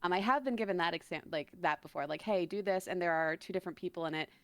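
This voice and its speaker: A woman's voice: lowish voice, quick pace, a bit bright, clean tone, dry recording. A woman chatting casually on a live stream in an informal, joking and self-deprecating way. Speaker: guest narrator and voice actor.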